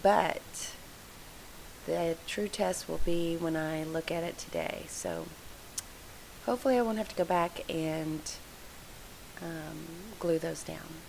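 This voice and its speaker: A woman with a low, froggy, gravelly voice, congested and hoarse from allergies. She chats loosely and apologetically, narrating a craft project over a recording with a faint hiss. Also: slow, pauses often, darkish tone, low-quality recording. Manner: chatty, rambling, apologetic. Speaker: scrapbooking video host. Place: home scrapbook room.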